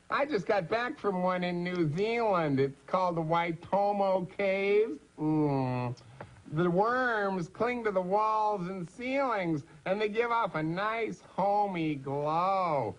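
An actor playing a contented, languid cockroach in a comic TV sketch, drawling and savoring the words. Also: male voice, sits high, lo-fi sound. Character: languid, contented giant cockroach lounging in his hotel. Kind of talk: comic TV puppet-costume character sketch.

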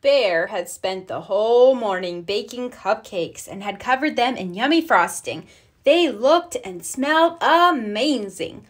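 A woman narrating a children's storybook aloud, expressively, with deliberate storytelling emphasis. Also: on the high side, lively intonation. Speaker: storybook reader.

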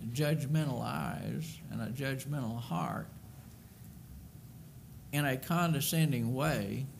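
Earnest older preacher at a pulpit. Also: male voice, mid-range voice, slow pace, vocal fry, a little hiss or hum. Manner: earnest, emphatic, repeats words while building a point. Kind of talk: sermon. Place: church sanctuary at a wooden pulpit. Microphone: pulpit microphone.

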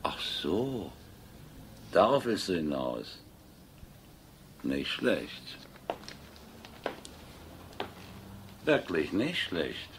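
An actor playing a menacing, sneering crook who mocks and threatens in a crime radio drama. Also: male voice, sits low, slight background noise, full character voice. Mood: sneering, accusing. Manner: mocking, threatening. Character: menacing, sneering male crook bullying his former lover.

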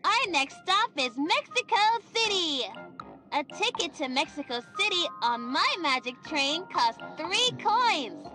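High, animated little cartoon girl. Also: unhurried. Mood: bright, excited. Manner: exaggerated singsong children's-show delivery, turning sarcastic. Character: cheery, bossy young girl hosting children's show. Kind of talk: scripted children's cartoon game acting. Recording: music behind the voice.

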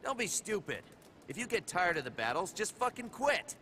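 An actor playing a brash, cocky young assassin antihero in a video game, blunt and curt. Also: male voice, high-pitched, big pitch swings, moderate pace, bright, forceful tone, exaggerated voice. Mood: challenging. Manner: blunt, curt, swearing swagger. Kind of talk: English video game cutscene acting. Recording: game audio with clear background noise.

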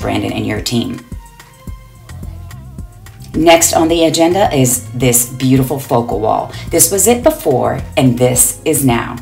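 Very low gravelly woman narrating. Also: darkish tone. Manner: upbeat, enthusiastic. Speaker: interior designer. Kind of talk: voice-over narration of a home reveal.

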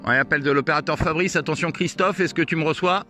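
Higher-voiced man chatting on two-way radio. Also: fast, very noisy. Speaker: amateur radio operator. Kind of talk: radio contact chat.

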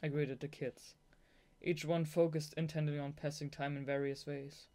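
Audiobook narrator, young adult, native German speaker, medium pitch, amateur novel reading with fannish asides. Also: flat delivery, normal speed, a little mellow. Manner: giggly, gushing fangirl asides between read passages. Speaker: audiobook reader.

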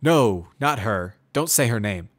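Obsessive, withdrawn pony prisoner for a dark fantasy story, terse and intense played by a male reader. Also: on the low side, lively intonation, a little slow. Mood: harsh, curt.

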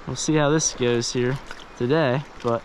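A man's voice: medium pitch, low-quality recording. A man fly fishing in a river, chatting casually to the camera and talking to the fish as he lands them. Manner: chatty, excited, talks to the fish. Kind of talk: casual narration while fishing. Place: outdoors wading a river. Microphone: head-mounted action camera. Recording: faint river noise outdoors. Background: rushing stream water.

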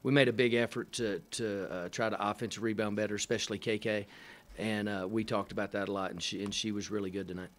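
Middle-aged male coach at a press table mic, low-pitched, halting and matter-of-fact with dry asides. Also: steady tone. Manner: halting, many fillers and restarts, dry matter-of-fact humor. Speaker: head coach. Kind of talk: post-game press conference answers. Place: press room in front of a sponsor backdrop. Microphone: table microphone.

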